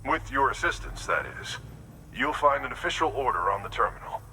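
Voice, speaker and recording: A man's voice: mid-range voice, lively intonation, a little fast, bright, forceful tone, low-quality recording. A man playing a friendly, folksy mountaineer in a video game, chatting conversationally as he asks a favor. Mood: friendly, earnest. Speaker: quest giver. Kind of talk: video game character dialogue. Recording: faint background noise.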